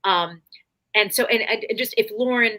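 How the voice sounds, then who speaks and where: A woman's voice: on the high side, moderate pace, sharp tone. A woman guest-hosting a casual chat, effusive and rambling with lots of fillers. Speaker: guest host. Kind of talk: casual conversation.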